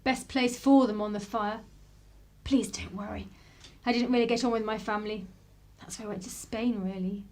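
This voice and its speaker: A woman's voice: medium pitch, steady tone, moderate pace, darkish tone, natural voice. A woman playing a polite, composed lady with a secretive past in a radio drama, genteel and wry. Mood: polite, reflective.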